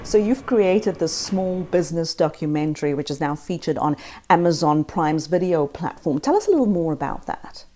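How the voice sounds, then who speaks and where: A woman hosting a news magazine, presenting and interviewing with a polished, clear delivery. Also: sits low, animated, normal speed, warm tone.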